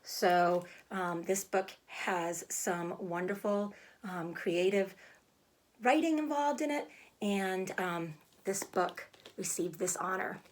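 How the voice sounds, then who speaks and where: Woman, schoolteacher, medium pitch, simple explanations for children, read-aloud style. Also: slow pace.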